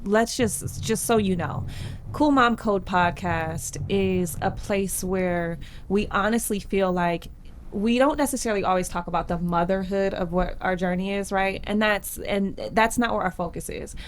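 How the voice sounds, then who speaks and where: A woman's voice: medium pitch, normal speed, a little hiss or hum. A woman hosting a podcast, chatting casually and encouraging her guest with frequent affirmations. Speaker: podcast host. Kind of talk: casual interview chat.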